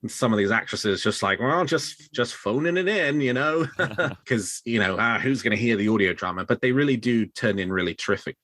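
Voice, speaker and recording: Male podcast host, fairly deep and bright, discussing and reporting in an emphatic conversational style. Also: expressive, moderate pace, no echo. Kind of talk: podcast discussion and news commentary.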